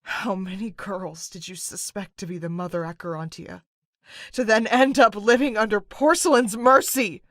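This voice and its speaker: A woman's voice: mid-range voice, fairly even intonation, moderate pace, fairly bright tone, dry recording, studio quality, lightly acted. An actress playing a cold, bitter, long-suffering woman in a dramatic video game scene, speaking in an accusing way. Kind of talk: dramatic game cutscene acting.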